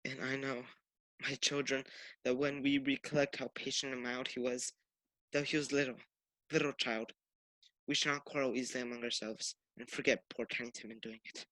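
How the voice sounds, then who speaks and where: A young man playing a gentle, grieving father in a radio play, tender and earnest. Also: mid-range voice, flat delivery, normal speed, dry recording, close to an everyday voice, rough recording. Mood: earnest, consoling. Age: young adult. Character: gentle grieving father comforting his family. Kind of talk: theatrical radio play acting.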